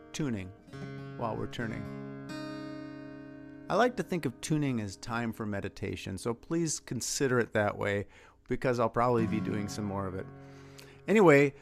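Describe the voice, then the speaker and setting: A man's voice: medium pitch, vocal fry. An American man from Minnesota talking casually and conversationally as a singer-songwriter introducing a song and teaching a sing-along. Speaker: singer-songwriter and guest performer. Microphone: performing over an online video stream. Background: acoustic guitar being strummed and tuned.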